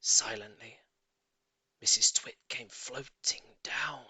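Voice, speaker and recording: A man narrating a children's audiobook in an expressive, theatrical and emphatic storytelling manner. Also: medium pitch, slow pace, husky, no room sound, whispered.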